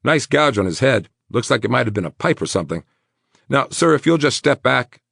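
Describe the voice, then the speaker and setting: Man playing a brisk, loud, raised-voice paramedic. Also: medium pitch, sing-song, vocal fry, no room sound, clean studio sound, voice pushed up, heavily acted. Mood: breezy, blunt. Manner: quick, loud, matter-of-fact. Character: brisk, nonchalant, philosophical male paramedic.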